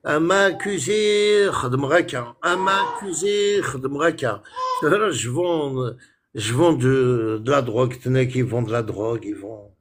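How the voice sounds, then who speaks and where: A man with a husky, breathy voice talks on a phone live stream in a heated, casual rant. He is indignant and keeps circling back to the same points. He mixes Arabic words into his speech. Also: medium pitch, a little slow, a bit bright. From France.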